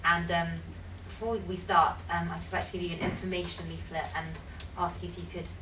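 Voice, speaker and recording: Woman playing a formal polite researcher doing an interview, quick talker, roomy distant sound, bit of hiss. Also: lowish voice, flat delivery, natural voice. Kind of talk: semi-scripted research interview dramatisation. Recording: roomy, distant mic with faint noise.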